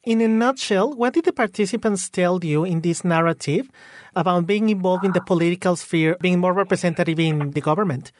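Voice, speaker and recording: A man's voice: high-pitched, brisk. A man, himself a migrant, asking a guest plain, somewhat informal questions in a radio interview.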